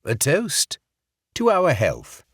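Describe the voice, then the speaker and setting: A man voicing an undercover businessman who puts on a fake English accent, smooth and nervously ingratiating. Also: fairly high pitch, huge pitch swings, takes it slowly, no room sound, studio quality, pitched above the performer's own voice, heavily acted.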